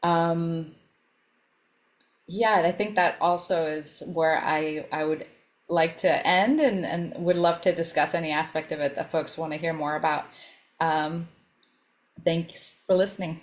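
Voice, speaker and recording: Female panelist, notably low voice, reflective and hesitant, feeling her way through each phrase. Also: fairly even intonation, a little slow. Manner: hesitant, searching for words. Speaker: panelist working in research equity. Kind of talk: reflective informal career talk.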